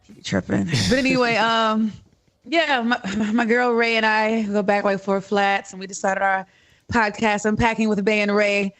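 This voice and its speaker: A woman's voice: mid-range voice, steady tone, moderate pace, fairly bright tone. An American woman in her late thirties chatting casually and playfully in slang as a podcast guest. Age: late 30s. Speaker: podcast co-host appearing as guest. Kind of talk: casual chat. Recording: music bed under speech. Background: music.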